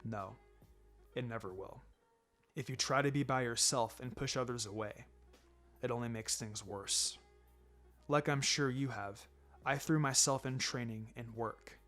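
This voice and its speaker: Man voicing a low, quiet, laid-back ninja mentor. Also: unhurried, long pauses, faint music behind, lightly acted. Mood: sombre. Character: laid-back adult male ninja mentor, wry and gently sombre. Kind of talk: fan fiction audiobook character acting.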